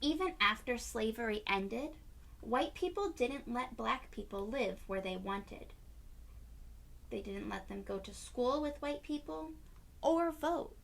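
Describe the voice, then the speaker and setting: A woman's voice: on the high side, animated, slow, gravelly. A woman reading a children's story aloud as its narrator. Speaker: storybook reader.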